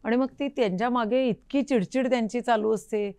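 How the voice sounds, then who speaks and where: A woman's voice: fairly high pitch, a little fast, darkish tone, smooth, no echo. A woman, hosting a casual interview in an attentive, agreeable manner. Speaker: interview host. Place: recording studio.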